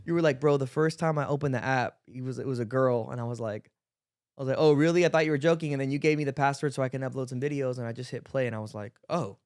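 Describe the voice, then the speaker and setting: A man's voice: medium pitch, racing, a little mellow, dry recording, clean studio sound. A man co-hosting a podcast, talking in a casual, slangy way. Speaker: podcast co-host.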